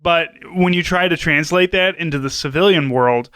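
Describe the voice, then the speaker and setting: A man's voice: on the high side, moderate pace, fairly bright tone, clear, resonant tone, no echo, clean studio sound. A man co-hosting a podcast, giving casual commentary in a rambling, wry, sweary way. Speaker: podcast co-host.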